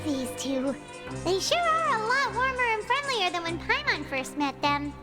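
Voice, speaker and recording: A woman voicing a tiny, cheerful, childlike girl companion in a video game, squeaky and perky. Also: very animated, normal speed. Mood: sheepish. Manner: perky, talks of herself in third person. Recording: game music playing behind.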